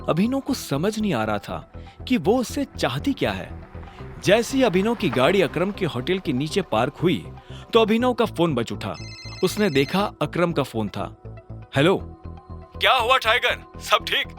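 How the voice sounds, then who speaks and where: A man's voice: fairly high pitch, huge pitch swings, measured pace, faint music behind. A man narrating a scripted audio drama as a dramatic storyteller.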